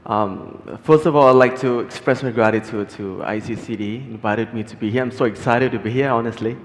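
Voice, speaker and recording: A man's voice: medium pitch, fairly quick. Thai-accented man speaking in a reverberant hall. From Thailand. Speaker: panelist, halal content creator. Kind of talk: informal self-introduction on stage. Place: large hall, on stage at a forum. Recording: reverberant hall, stage sound.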